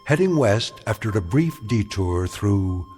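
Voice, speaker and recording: A man narrating an eerie radio drama in a measured, solemn, ominous way. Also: lowish voice, very animated, a little mellow, soft background music.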